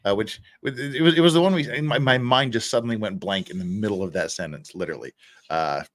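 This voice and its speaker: A man hosting a livestream in casual chat, halting and tentative as he thinks aloud. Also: fairly low pitch, a bit bright, no room sound, studio quality. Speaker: livestream host.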